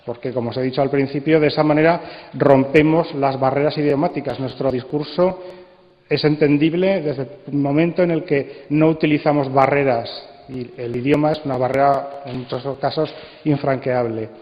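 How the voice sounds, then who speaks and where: A man's voice: medium pitch, fairly even intonation, normal speed. A man answering questions as a congress speaker, assertive and argumentative, explaining with rhetorical questions. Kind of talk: spontaneous panel answer. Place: large indoor congress hall. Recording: echoey hall, long reverb, dull sound.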